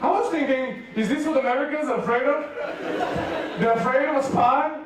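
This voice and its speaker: A man's voice: very high voice, normal speed, heavy background noise. A man from Serbia with a Serbian accent, preaching a humorous sermon to a youth rally and acting out the dialogue in his stories. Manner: animated comic storytelling, acts out dialogue. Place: large hall with a youth audience. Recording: echoey hall, voice from far off.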